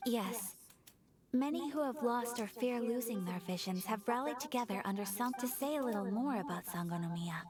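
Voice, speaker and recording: A woman's voice: on the high side, expressive, normal speed, over music, natural voice. A woman voicing a refined, courteous young noblewoman in a video game, speaking with formal, poised politeness. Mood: composed, serious.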